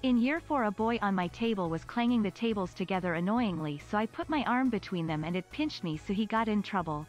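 A woman's voice flatly reading viewer donation messages on a stream. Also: medium pitch, fairly quick, few pauses, faint music behind.

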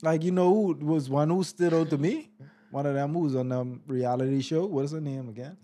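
A man's voice: fairly high pitch, a little fast, dark tone. A young man co-hosting a podcast in a small studio, laid-back and chuckling as he steers the talk. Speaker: podcast co-host. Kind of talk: casual chat.

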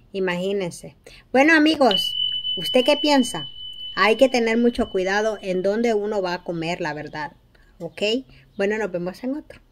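A woman in her forties speaks slowly and earnestly to her phone camera, with frequent fillers and pauses. Her voice sits at a middle pitch and comes across as close and intimate, recorded in a small room at arm's length. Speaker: vlogger commenting on a news clip. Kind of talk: casual commentary to camera.

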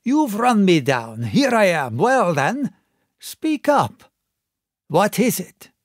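Man doing a gruff, cornered old sea captain. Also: sits high, very animated, takes it slowly, dry recording, clean studio sound, voice pushed up, full character voice. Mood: confronting.

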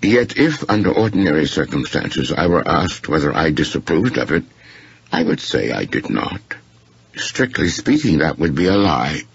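Deep, gravelly, courteous shrewd gentleman, as a male narrator plays him. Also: sing-song, no room sound, highs cut off, exaggerated voice. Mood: gently pointed. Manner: formal, deliberate, precise.

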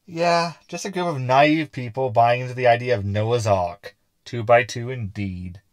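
A man's voice: medium pitch, measured pace, dry recording, clean studio sound, close to an everyday voice. A man playing a sarcastic, querulous hologram sidekick who is skeptical and easily unnerved, in a science fiction comedy audio drama.

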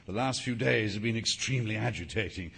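An actor playing an urbane, flippant upper-class English husband in a drawing-room comedy, witty and amused. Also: male voice, on the low side, fairly quick, gravelly, fairly bright tone, breathy edge, exaggerated voice.

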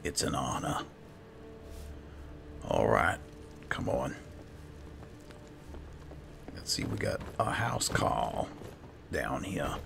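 A man's voice: a bass voice, big pitch swings, very slow, creaky, long pauses, noisy background. A man hosting a gaming video, giving casual, chatty, hesitant roleplay commentary as he plays.

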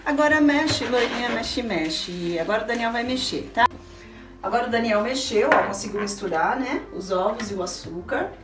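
Home cook, middle-aged woman, higher voice, encouraging and chatty; distant camera, echoey kitchen, music underneath. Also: expressive, moderate pace. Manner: chatty, patient, coaxing and encouraging. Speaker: cooking show host and aunt. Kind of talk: casual cooking demonstration with a child. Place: small home kitchen, indoors. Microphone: camera held by a helper a few feet away.

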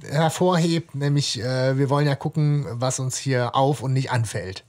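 A man's voice: mid-range voice, normal speed, a little mellow. A man co-hosting a podcast, talking casually and thinking aloud with some hesitation. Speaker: podcast co-host. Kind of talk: casual discussion.

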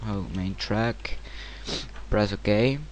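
Slow, low-voiced man giving a tutorial. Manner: hesitant, informal. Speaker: tutorial presenter. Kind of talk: casual software tutorial narration. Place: home computer screen-recording voice-over. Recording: clear steady background noise.

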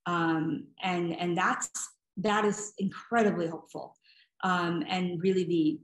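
A woman's voice: on the low side, fairly even intonation, measured pace. A middle-aged woman answering interview questions thoughtfully, searching for words and repeating them as she goes, on a video call. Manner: hesitant phrasing, repeats words while thinking. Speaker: guest speaker, nonprofit director. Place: home room, indoors. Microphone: video call computer mic. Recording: roomy echo on video call.

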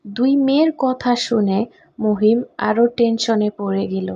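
A woman's voice: mid-range voice, measured pace, gravelly, slightly rough, lo-fi sound. A woman narrating a scripted moral tale for a cartoon as its storyteller.

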